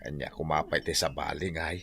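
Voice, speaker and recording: A man's voice: rumbling low, steady tone, brisk, gravelly, fairly bright tone, slight background noise, heavily acted. A man acting an older, teasing Filipino driver with an Ilocano accent in a comedy radio drama. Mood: frank, consoling. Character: older male jeepney driver, teasing confidant of a lovesick friend.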